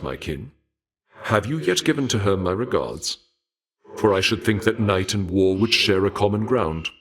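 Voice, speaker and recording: A man voicing a courteous, regal god of war in a video game, speaking formally in archaic, measured phrases with a dark fascination for death. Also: deep voice. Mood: polite, admiring. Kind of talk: scripted video game character dialogue.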